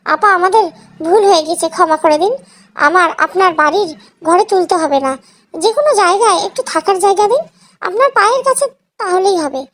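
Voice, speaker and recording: A woman's voice: very high-pitched, lively intonation, normal speed, fairly bright tone. A woman voicing a submissive second wife who begs and apologises in an audio story. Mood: pleading. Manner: begging, apologetic. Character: pleading, submissive second wife begging for shelter.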